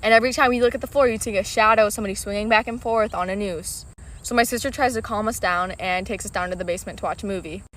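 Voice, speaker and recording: A woman's voice: mid-range voice, fast talker, fairly bright tone. A young adult woman casually telling a personal scary story. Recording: voice distant from the mic.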